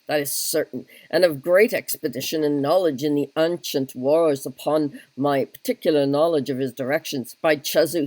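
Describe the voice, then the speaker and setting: A man's voice: fairly high pitch, a little mellow, no room sound, natural voice. A man voicing a pedantic, long-winded army captain who keeps saying "look you", in a solo reading of a stage play. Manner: fussy, wordy, repeats "look you". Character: pedantic talkative male Welsh captain.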